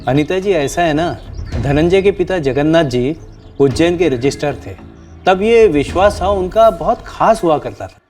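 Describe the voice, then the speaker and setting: A man's voice: fairly high pitch, expressive, normal speed, darkish tone, lightly acted. Older male retired official, played by an actor, crime drama. Earnest, respectful, explanatory, faint music bed. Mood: earnest recollection. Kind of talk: television crime drama dialogue. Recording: background music under dialogue.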